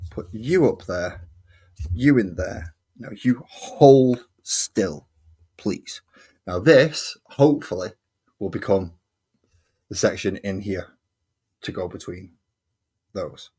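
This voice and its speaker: A man's voice: on the low side, breathy edge. An English man chatting casually and self-deprecatingly while building a hobby model on his stream. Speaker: hobby streamer painting miniatures. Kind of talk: casual chat while crafting.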